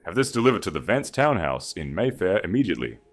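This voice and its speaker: A man's voice: sits low, big pitch swings, fast, faint music behind. A man voicing an arrogant, self-satisfied earl in an audiobook, pompous and flowery with false devotion. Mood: imperious.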